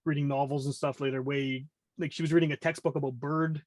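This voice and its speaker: A man's voice: a little high, level tone, fast, a little mellow, no echo. A man of about forty with a Canadian accent, chatting casually as a podcast guest in a rambling, self-interrupting way. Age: around 40.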